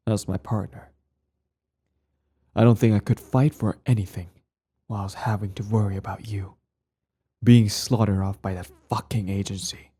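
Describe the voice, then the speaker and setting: A brooding ex-hero villain, acted by a man, speaks in a low, dark, husky voice. He is bitter yet devoted, measured and intense, with dramatic pauses suited to an intimate ASMR roleplay. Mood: earnest, persuasive. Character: cold former-hero villain, earnest, bitter, devoted to partner.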